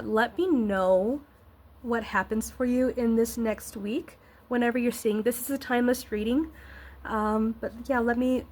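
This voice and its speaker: A woman with a fairly high voice talks through a tarot reading in a casual, supportive way. She sounds a bit scratchy and nasally congested, as if getting over an illness. Her delivery wanders as she hunts for the right words. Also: a little mellow. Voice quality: slightly scratchy. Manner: rambling, searching for words, encouraging. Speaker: tarot reader and host.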